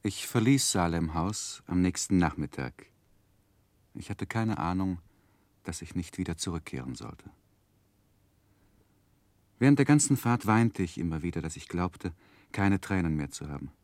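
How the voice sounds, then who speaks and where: An actor playing a grown man who soberly recalls his childhood, narrating a 1960s radio play in a measured, reflective way. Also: low-pitched, animated, darkish tone, dry recording. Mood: wistful, reflective.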